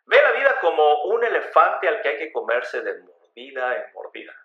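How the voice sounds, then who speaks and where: Man, scripted dramatic narration, slightly high pitch, animated intonation, echoing room. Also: normal speed, lo-fi sound. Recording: roomy, echoing voice recording.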